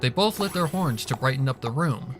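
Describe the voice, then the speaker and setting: A man's voice: mid-range voice, animated, a little fast. A man reading a fan fiction story aloud as scripted narration. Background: music.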